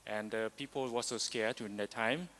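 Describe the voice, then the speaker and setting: A man from Cambodia, speaking haltingly with many pauses on a conference panel. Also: on the low side, a bit bright, big-room sound. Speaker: embassy delegate. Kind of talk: panel sharing. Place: large conference hall.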